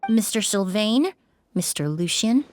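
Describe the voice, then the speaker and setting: A woman's voice: medium pitch, big pitch swings, a little slow, a little mellow, a little hiss or hum. An actress playing a composed, exacting young woman perfumer who speaks formally and clinically in a video game. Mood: calm, clinical. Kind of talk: video game character acting.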